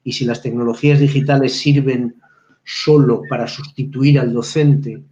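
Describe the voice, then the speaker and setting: A man speaks as a guest professor on a live video call. He thinks aloud, pausing on fillers and restarting phrases. The sound is roomy and a little dull, like webcam audio. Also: medium pitch, fairly even intonation, dark tone. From Spain. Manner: reflective, halting, frequent restarts and fillers. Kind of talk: live webinar discussion.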